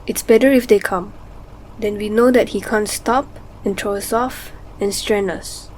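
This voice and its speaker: A woman playing a protective, steady mother fleeing a war in a radio drama, instructing and reassuring. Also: mid-range voice, measured pace, a little hiss or hum, lightly acted, low-quality recording. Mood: calm, firm.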